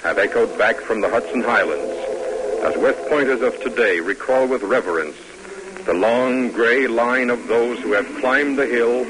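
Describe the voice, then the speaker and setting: A man's voice: mid-range voice, noisy background. A man announcing and narrating a 1950s radio drama in a formal, solemn, declamatory style. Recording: vintage radio broadcast with music. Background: music.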